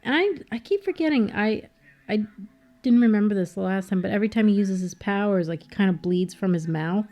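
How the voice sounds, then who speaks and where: A woman's voice: mid-range voice, brisk. A young woman chatting casually over a film, telling stories with energy, laughing often and saying "like" a lot. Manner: animated storyteller, laughs often, says "like" a lot. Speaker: co-host. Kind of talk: casual film commentary chat.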